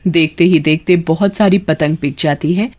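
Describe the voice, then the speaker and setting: A woman's voice: on the low side, moderate pace, darkish tone. A woman reading scripted narration for a children's moral story as its storyteller.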